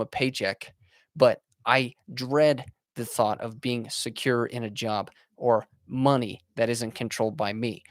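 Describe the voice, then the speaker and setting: A man's voice: medium pitch, no echo, clean studio sound. A man in his twenties talking earnestly and casually as a podcast host, motivational in tone, with frequent fillers and restarts. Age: 20s. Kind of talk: casual monologue.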